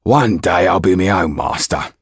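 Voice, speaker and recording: A man's voice: deep voice, normal speed, slightly rough, no echo. A man voicing a resentful coachman in an audiobook, grumbling and sarcastic.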